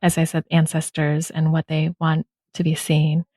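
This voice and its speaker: Woman podcast host, low mellow voice, slow and gentle, lots of mm-hmms. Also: monotone, dry recording, studio quality. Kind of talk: casual interview chat.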